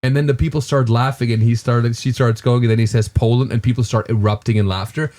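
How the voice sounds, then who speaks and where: A man's voice: mid-range voice, fairly even intonation, quick pace, a little mellow. A man in his thirties with a German accent, a guest comedian chatting on a podcast and telling rambling stories full of fillers and restarts. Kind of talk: casual podcast chat.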